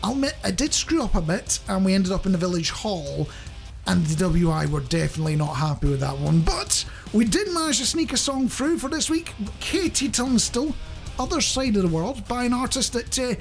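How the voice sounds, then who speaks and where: A man's voice: high voice, sing-song, a little fast, creaky, soft background music. A man presenting a radio show in a chatty, rambling, jokey way, speaking directly to the listener.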